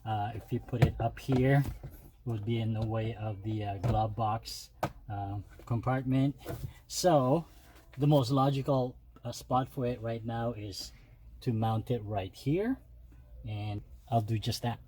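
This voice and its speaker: A man hosting a DIY video, talking viewers through steps casually with halting, hesitant phrasing. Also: mid-range voice, steady tone, noisy background. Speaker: DIY car modification video host. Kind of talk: casual step-by-step tutorial talk.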